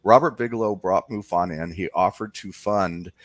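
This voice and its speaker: A man's voice: deep voice, normal speed, dry recording. A man speaking as an interview guest, explaining things informally in a conversational way.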